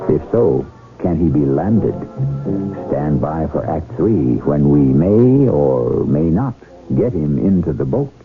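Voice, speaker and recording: A man narrating a 1970s radio drama as its host, theatrical, wry and deliberate, with rhetorical flourishes. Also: extremely low pitch, sing-song. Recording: muffled old radio broadcast, music behind. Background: music.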